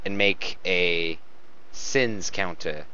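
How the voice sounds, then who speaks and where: A man's voice: sits low, extremely slow, pushed and bright. Man in a muffled, noisy recording. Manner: giggly, stumbling over the text. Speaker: co-host reading fan fiction aloud. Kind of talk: joking read-aloud with casual asides.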